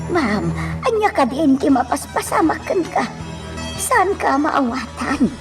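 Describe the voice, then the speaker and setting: A woman's voice: sits high, sing-song, a little slow, far from the mic, music in the background. A woman with an Ilocano accent acting a deferential, concerned helper in a radio drama.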